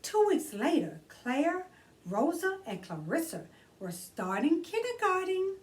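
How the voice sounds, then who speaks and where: A woman hosting a children's storytime, reading aloud expressively and deliberately, drawing out key words for emphasis. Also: very high voice, big pitch swings, recorded from a distance. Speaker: children's storytime host and reader.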